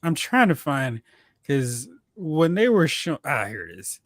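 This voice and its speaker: A man's voice: on the high side, big pitch swings, unhurried, no room sound, clean studio sound. A man hosting a live talk stream, chatting casually and giving fan commentary. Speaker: host of a live talk stream on movies and comics.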